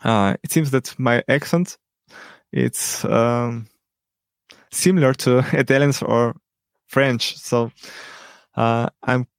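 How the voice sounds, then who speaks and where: Slow, gravelly man with frequent ums. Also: medium pitch, no room sound. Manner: hesitant, many ums and pauses. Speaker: teacher and podcast guest. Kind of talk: unscripted interview answers.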